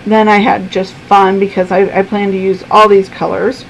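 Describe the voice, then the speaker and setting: A woman who is losing her voice talks casually to her camera from her room at home, with a gravelly, creaky edge. She chats along with frequent ums, and faint air-conditioning hum sits behind her. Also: medium pitch, little pitch movement, moderate pace, rough recording. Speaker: vlogger.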